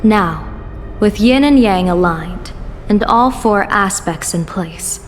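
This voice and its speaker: A woman's voice: mid-range voice, animated, takes it slowly. Composed, wry female general in a reverberant hall. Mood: composed, assured. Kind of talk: video game story dialogue. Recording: long hall reverb under clear music.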